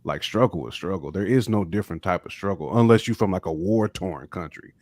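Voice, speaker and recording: Low-voiced American man, swooping animated intonation. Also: brisk. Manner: emphatic, repeats his points, slangy. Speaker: podcast panelist. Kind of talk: casual opinionated discussion.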